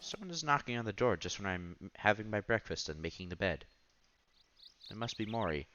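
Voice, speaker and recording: A man's voice: on the low side, lively intonation, a little fast, bright, forceful tone, dull audio, slight background noise. A man streaming a visual novel, reading the text aloud with casual commentary and stumbling over words now and then. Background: game music.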